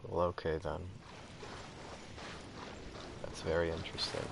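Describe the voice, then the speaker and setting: A man's voice: sits low, completely flat delivery, measured pace, creaky, heavy background noise. A man giving casual gameplay commentary in a rambling, slangy way. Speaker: gamer playing and commenting.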